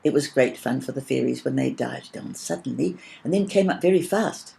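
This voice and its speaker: A woman's voice: rumbling low, moderate pace, gravelly, dark tone, heavily acted. A woman voicing a firm, imaginative girl who tells a children's story patiently and dramatically. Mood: fond.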